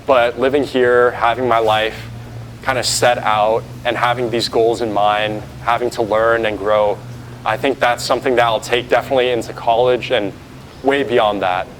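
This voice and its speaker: A man's voice: medium pitch, steady tone, normal speed, a bit bright, slight background noise, rough recording. A seventeen-year-old boy from the United States, a novice monk, talking earnestly to camera with fillers and restarts. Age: teens. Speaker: novice monk sharing his experience. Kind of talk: casual talk to camera.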